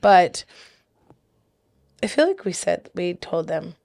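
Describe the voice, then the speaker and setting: A woman's voice: on the low side, animated, slow pace, gravelly, darkish tone, no echo, clean studio sound. A woman co-hosting a casual podcast with her partner, chatty and giggly, sounding slightly stuffy from blocked sinuses. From big city. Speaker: podcast co-host. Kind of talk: casual chat.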